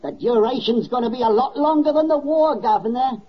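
A homely working-class London man in a 1940s radio play. His voice is very high, and the recording is dull and old. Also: fairly quick. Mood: wry.

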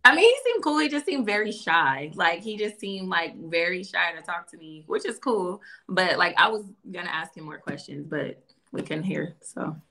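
A woman's voice: fairly low pitch, normal speed. A woman in her thirties from California, chatting and laughing on a live video call dating show. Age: 30s. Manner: laughing, teasing, lively. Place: indoor room. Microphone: video call, phone or laptop.

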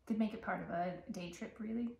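A woman's voice: mid-range voice, fairly even intonation, a little fast, gravelly, warm tone. Woman in an echoey room. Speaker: knitting podcast co-host. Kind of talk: casual chat. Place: living room, seated on a couch. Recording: echoey room, faint noise.

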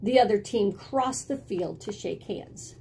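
A middle-aged woman reading a picture book aloud in an expressive, deliberate storytelling style, indoors at home. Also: medium pitch, slight background noise. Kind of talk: expressive children's read-aloud. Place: small indoor room at home with bookshelves.

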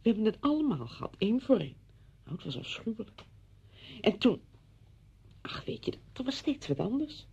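A woman's voice: on the high side, big pitch swings, moderate pace, gravelly, pauses often, mellow, husky, muffled recording, heavily acted. An actress playing a chatty, apologetic married woman visiting a friend in a radio drama, effusive, rambling and flustered.

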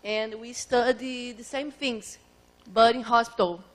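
Brazilian woman in halting English. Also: a little high, steady tone. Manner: searching for words in English, hesitant. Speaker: audience member asking a question.

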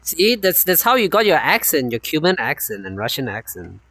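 A male streamer with a light non-native accent rambles in casual chat. His voice leaps high and drops low. The sound is dry, without room echo. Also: moderate pace, slightly rough. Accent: non-native, unnamed. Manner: animated, rambling, laughs often. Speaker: streamer host.

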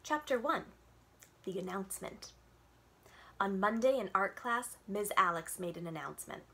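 A woman's voice: medium pitch, expressive, moderate pace, sharp tone. A woman in her thirties, a children's librarian, reading a storybook aloud expressively at home in front of a webcam. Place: home room with bookshelves, indoors. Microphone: webcam at desk distance.

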